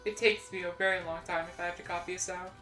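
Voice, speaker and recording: A woman's voice: lowish voice, steady tone, quick pace, fairly bright tone, faint music behind. A young adult woman with a Midwestern American accent, chatting casually on a stream, rambling with lots of "like"s and restarts, at a desk mic. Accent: General American (Midwestern). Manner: rambling, many "like"s and restarts, self-deprecating. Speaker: VTuber streamer.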